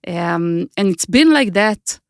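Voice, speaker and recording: A woman's voice: medium pitch, expressive, slow, dry recording. Thoughtful Israeli woman, dry close sound. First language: Hebrew. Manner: thoughtful, searching for words with many restarts. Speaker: guest, author. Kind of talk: reflective interview answers.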